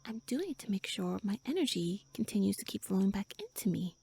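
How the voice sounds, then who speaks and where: A woman playing a pouty tsundere elf shrunk to pixie size in a roleplay audio, haughty, flustered and halting as she speaks to the listener. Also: medium pitch, big pitch swings, creaky, a little mellow, husky, heavily acted. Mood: sheepish, haughty.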